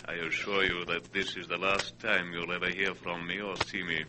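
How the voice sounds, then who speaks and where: An actor playing a suave, mocking blackmailer in a 1940s radio drama, silkily polite and coolly menacing, on a noisy vintage recording. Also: male voice, low voice, little pitch movement, natural voice. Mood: smoothly menacing. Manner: unhurried, silky politeness. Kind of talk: 1940s radio villain acting.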